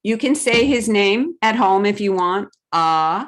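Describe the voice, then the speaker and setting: A woman's voice: medium pitch, lively intonation, moderate pace, fairly bright tone. A woman reading stories aloud to young children on a video call, in simple, encouraging phrases. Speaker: children's storytime reader. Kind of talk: animated read-aloud storytelling to children.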